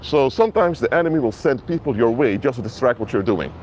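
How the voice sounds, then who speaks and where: A man's voice: mid-range voice, lively intonation, quick pace, a little mellow, a little hiss or hum, poor audio. Man preaching on a phone outdoors. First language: Papiamento and Dutch. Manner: earnest, repetitive, addresses viewers directly. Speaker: minister. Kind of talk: outdoor live-stream sermon. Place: outdoors in an urban area. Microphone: mobile phone.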